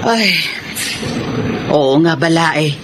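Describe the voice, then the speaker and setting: Casting: gentle older mother-in-law, radio drama, lively swooping delivery, faint noise. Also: deep voice, very slow, heavily acted. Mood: worried. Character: kindly, worried elderly mother-in-law and grandmother. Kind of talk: Filipino radio drama acting.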